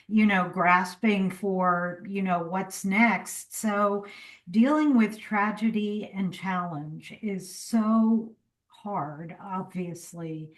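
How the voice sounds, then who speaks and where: A woman interviewer talks slowly and haltingly, gently affirming her guest as she feels her way toward each question. She sits back from the microphone, so her voice sounds a little distant. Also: mid-range voice. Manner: warm, affirming, halting and searching for words. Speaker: interviewer host.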